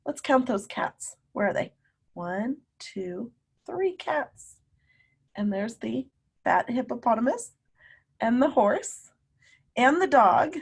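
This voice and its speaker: High, husky woman reading to children. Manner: animated, emphatic, drawn-out words, coaxing young children along. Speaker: teacher reading a picture book aloud to students. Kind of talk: children's read-aloud with questions to listeners.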